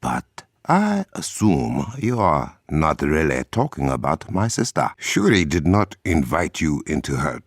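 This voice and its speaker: A composed, noble warrior king from a video game, speaking in a remarkably deep, husky voice with an African accent. His pitch moves expressively while his phrasing stays formal and measured, with a dry wit. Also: sing-song, no room sound, exaggerated voice. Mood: courteous, probing. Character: dignified Wakandan king and warrior, composed, dryly witty. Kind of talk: video game character dialogue.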